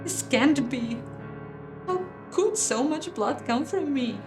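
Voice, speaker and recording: A woman's voice: high voice, animated, slow, pitched above the performer's own voice. A woman voicing a terrified young noblewoman who cries out in frantic, pleading exclamations. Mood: shocked, panicked. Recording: roomy echo, music behind.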